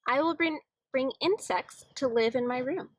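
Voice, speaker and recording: A woman's voice: high voice, moderate pace. A woman reading aloud as an eager, imaginative little boy in a children's picture book, earnest and dreamy.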